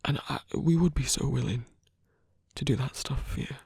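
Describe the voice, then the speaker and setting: A performer in an intimate ASMR roleplay, voicing a gentle, protective supernatural lover with a husky, breathy voice and a hesitant, earnest delivery. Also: medium pitch, normal speed, no echo, clean studio sound. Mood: reassuring, hesitant.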